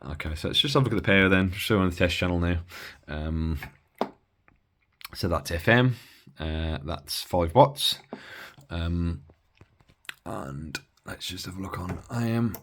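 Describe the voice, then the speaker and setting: Very low-pitched man, casual demonstration. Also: unhurried, fairly bright tone, slight background noise. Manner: hesitant, matter-of-fact technical explaining. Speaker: hobbyist demonstrating a used CB radio. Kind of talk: casual unscripted bench demonstration.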